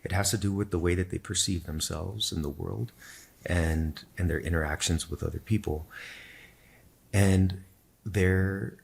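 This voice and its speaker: A man whose first language is Spanish, a podcast guest thoughtfully telling his own story in a measured, explanatory way. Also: low voice, fairly even intonation, vocal fry, husky. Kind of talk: reflective personal storytelling in conversation.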